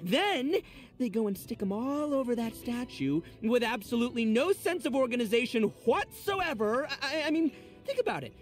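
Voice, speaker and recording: An actor playing a brash, boisterous young oni gang boss in a video game, bragging theatrically in slang with excitable asides. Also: male voice, extremely high pitch. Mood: indignant. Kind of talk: expressive video game character voice lines.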